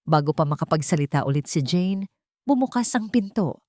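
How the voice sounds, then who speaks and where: A woman with a lowish voice tells a dramatic love story, building suspense with heavy pauses and emotional weight. The recording is dry and close, with no room echo. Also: darkish tone. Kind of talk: dramatic audiobook narration.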